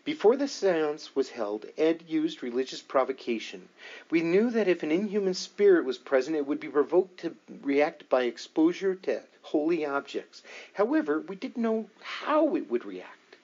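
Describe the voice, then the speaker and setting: A man voicing a composed, earnest woman psychic investigator in an audiobook, measured, matter-of-fact and assured. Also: on the high side, big pitch swings, darkish tone, dull audio. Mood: composed, explanatory. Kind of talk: audiobook dialogue reading.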